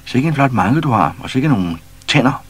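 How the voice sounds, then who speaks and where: A man voicing a bold, cheeky young troll boy who gives orders loudly in a storybook reading. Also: low-pitched.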